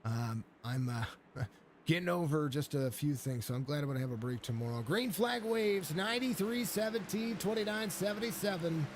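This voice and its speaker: A man's voice: a little high, animated, moderate pace, slight background noise. A man with laryngitis commenting live on a sim racing stream, rambling through the grid and chatting with viewers, with lots of fillers and restarts. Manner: rambling, filler-heavy play-by-play, greets chat viewers. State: hoarse from laryngitis. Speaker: race commentator and stream host.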